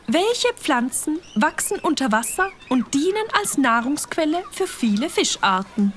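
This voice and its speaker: A woman's voice: high voice, very animated, unhurried, slight background noise. A sing-song fox mother. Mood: cheerful. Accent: Swiss German. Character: warm fox mother, motherly quiz host.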